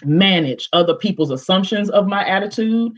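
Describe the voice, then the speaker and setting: A woman panelist with a big, expressive voice, speaking emphatically and directly on a video call, often restarting with fillers. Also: medium pitch, normal speed. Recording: dull lo-fi video call audio.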